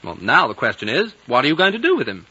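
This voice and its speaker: An eager, blunt young man in a vintage radio drama. His voice is mid-pitched, bright and very animated, with a boyish directness. The recording has the dull tone of 1950 radio. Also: fast, husky, heavily acted. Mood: insistent, accusing. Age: young adult. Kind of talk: 1950s radio drama acting.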